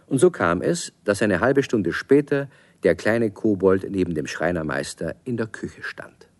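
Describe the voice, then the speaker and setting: Deep guy narrating a kids radio play, really lively intonation. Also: measured pace. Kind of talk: scripted children's story narration.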